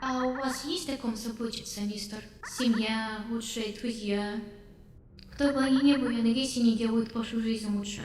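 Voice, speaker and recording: A woman voicing a young robot girl in a game, polite and wistful, speaking in a childlike, gently confiding manner. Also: fairly high pitch, steady tone, moderate pace, close to an everyday voice. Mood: shy, wistful. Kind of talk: game character dialogue dub. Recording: roomy long reverb, distant, faint noise.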